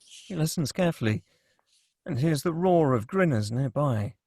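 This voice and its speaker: A man's voice: a little high, expressive, moderate pace, mellow, no room sound, studio quality. A man reading scripted stage directions as the narrator of a screenplay table read.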